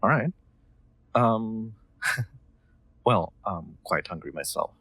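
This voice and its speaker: A man playing a gentle, solicitous nobleman in an intimate roleplay audio drama, speaking in formal, courteous, slightly hesitant phrases. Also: lowish voice, lively intonation, drawn-out words, breathy edge, dry recording, heavily acted. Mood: welcoming, attentive. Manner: formal, old-fashioned courteous phrasing, hesitant, soft chuckles. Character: gentle, solicitous nobleman, new master of an automaton.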